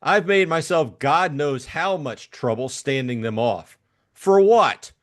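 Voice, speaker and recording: A man's voice: on the high side, lively intonation, slow pace. A man acting an irritable, blunt hard-boiled private detective in an audiobook drama. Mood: enraged. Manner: blunt, impatient.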